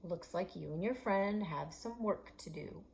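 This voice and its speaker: A woman's voice: fairly low pitch, unhurried, vocal fry, darkish tone. A woman reading the lines of a patient, mildly stern middle-aged father in a children's story.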